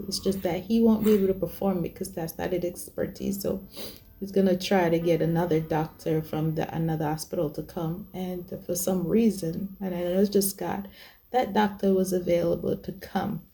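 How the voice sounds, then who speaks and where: A woman in her forties speaks in a slightly low voice as she gives her testimony. She talks hesitantly and often starts her sentences over. Music plays clearly behind her. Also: mellow. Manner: hesitant, many fillers and restarts. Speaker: host telling her testimony. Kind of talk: personal testimony.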